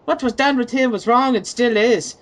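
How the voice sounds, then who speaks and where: Mid-to-high voice reading aloud. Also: moderate pace. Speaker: reader in a group dramatic reading. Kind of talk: reading written comments aloud.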